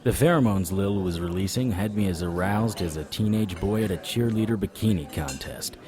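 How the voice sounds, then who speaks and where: Actor as low-voiced, wry hard-boiled detective. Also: male voice, moderate pace, darkish tone, close to an everyday voice. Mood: wry narration. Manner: wry, sardonic. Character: hard-boiled male occult detective, wry and world-weary. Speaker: narrator and lead character. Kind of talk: noir audio drama narration and dialogue.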